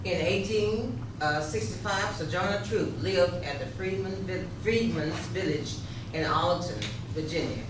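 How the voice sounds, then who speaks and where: A woman reading historical narration for a readers theater, halting and stumbling over words now and then. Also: lowish voice. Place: echoey room, live rehearsal. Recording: echoey, distant, noisy rehearsal room.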